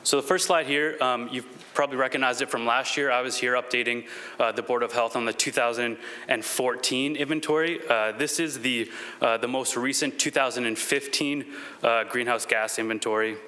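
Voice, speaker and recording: Bright-voiced man giving a formal presentation. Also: medium pitch, fairly even intonation, moderate pace. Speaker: city climate change coordinator presenting.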